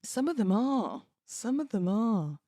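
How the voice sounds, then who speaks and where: A British woman hosting a podcast, moving between informal talk and expressive readings of verse. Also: a little high, unhurried, no room sound, clean studio sound. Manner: chatty, informal, giggly. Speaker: podcast host. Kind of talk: casual podcast chat with dramatic poetry readings.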